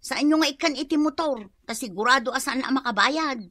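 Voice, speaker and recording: A high-voiced, spiteful sister-in-law in a radio comedy drama. She is nosy and bossy, scolding in a piercing tone, recorded dry and close. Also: a little fast, a bit bright, no room sound. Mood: bossy, insistent. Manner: pushy, scolding.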